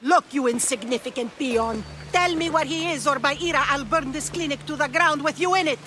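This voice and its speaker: A woman's voice: high voice, brisk, fairly bright tone, soft background music. A woman playing a haughty, threatening priestess in a video game, speaking with imperious scorn. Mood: menacing. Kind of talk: dramatic video game acting.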